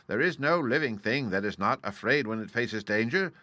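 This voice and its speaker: A man's voice: mid-range voice, expressive, moderate pace, bright, forceful tone, no room sound. Man reading as a meek humbug wizard. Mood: confiding.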